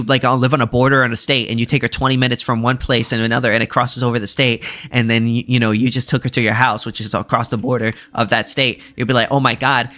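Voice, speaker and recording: A man in his twenties with a bright, forceful voice at an average pitch. He argues casually and slangily in a group debate. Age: 20s. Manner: slangy, interjecting, calls others bro. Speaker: debate participant.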